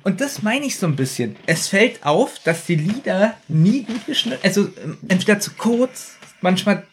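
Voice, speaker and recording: A man's voice: sits high, very animated, normal speed. A man co-hosting a podcast in casual, conversational chat. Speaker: podcast host. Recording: faint background noise.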